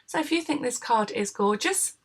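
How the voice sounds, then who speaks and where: A woman, hoarse from a cold, calmly explaining a craft project step by step in a tutorial voice-over, with frequent filler sounds. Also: on the high side, normal speed.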